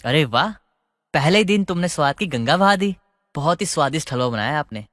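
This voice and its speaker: A man's voice: a little high, animated, fairly quick, fairly bright tone, no room sound, studio quality, big-room sound. A man voicing an eager, bashful young newlywed husband in a family story. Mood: cheerful.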